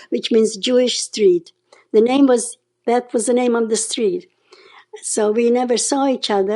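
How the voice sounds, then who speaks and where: Older woman with a Hungarian-Czech accent, mellow voice. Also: fairly high pitch. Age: 90s. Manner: storytelling, often adds a questioning "okay?". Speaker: interview guest. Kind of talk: oral history interview.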